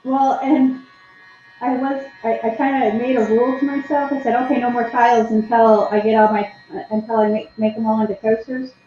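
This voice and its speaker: A woman's voice: a little high, level tone, a little fast, warm tone, slight background noise. A woman co-hosting a live painting stream, chatting in a rambling way and laughing often. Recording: very echoey, dull lo-fi sound.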